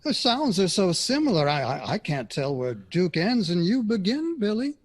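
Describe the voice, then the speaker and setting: A man's voice: high voice, big pitch swings, moderate pace, heavily acted. A man acting in an audio drama as a polite, probing 1960s radio interviewer.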